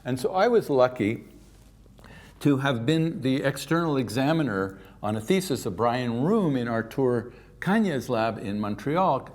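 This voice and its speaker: An older man from Canada giving a keynote science lecture, lively and informal, with offhand asides. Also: medium pitch, measured pace. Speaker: keynote speaker.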